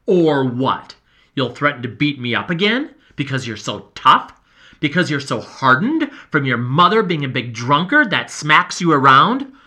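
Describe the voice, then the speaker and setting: A man's voice: mid-range voice, animated, moderate pace, hardly any pauses, pushed and bright. A man voicing a frightened, spiteful boy who taunts and whines in an audiobook. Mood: taunting, furious.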